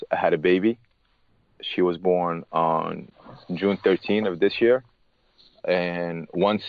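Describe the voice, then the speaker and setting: A man, a tennis coach being interviewed, speaking haltingly and often restarting his words. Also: low voice, no echo, narrow, tinny audio. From Morocco. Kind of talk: casual interview talk.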